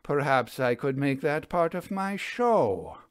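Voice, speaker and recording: A man voicing a vain, flirtatious male stage magician with a smooth, showy, deliberate delivery in a storybook reading. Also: fairly high pitch, big pitch swings, no room sound, studio quality, voice pushed up. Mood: mildly affronted. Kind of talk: audiobook storybook dialogue.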